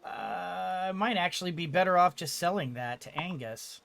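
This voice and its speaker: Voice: medium pitch, moderate pace, creaky, background noise. A video game streamer giving casual commentary, thinking aloud in a hesitant, rambling way.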